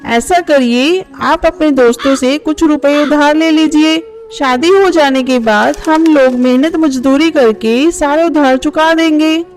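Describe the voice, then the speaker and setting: Woman voicing a high-pitched, coaxing mother bird. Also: a little slow. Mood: earnest, resolute. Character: practical, poor middle-aged mother bird, gently persuasive. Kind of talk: Hindi moral-story cartoon dialogue.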